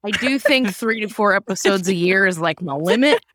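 A woman's voice: medium pitch, lively intonation, normal speed, fairly bright tone, no room sound, studio quality. A woman podcast co-host chatting from home, joking at her own expense, laughing often and restarting her sentences. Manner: self-deprecating, laughing, rambling restarts. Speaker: podcast co-host and sketch writer. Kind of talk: casual chat.

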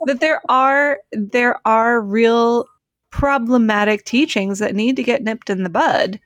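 A woman with a slightly high voice talks as a podcast co-host. Her style is conversational and reflective, articulately working through a point, and the sound is close and dry. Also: slow pace, dry recording. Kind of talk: casual conversation, reflective commentary.

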